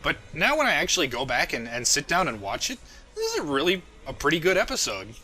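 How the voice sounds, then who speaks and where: A man's voice: a little high, huge pitch swings, fast, rough recording. A man giving casual, jokey and self-deprecating audio commentary as the creator of the show he is talking about.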